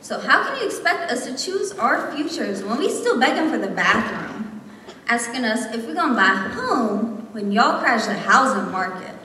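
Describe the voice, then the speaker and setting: A woman's voice: a little high, big pitch swings, moderate pace, bright, forceful tone. A young woman reciting slam poetry with an impassioned, rhythmic, emphatic delivery. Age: young adult. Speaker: slam poet. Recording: echoey hall, distant mic, faint noise.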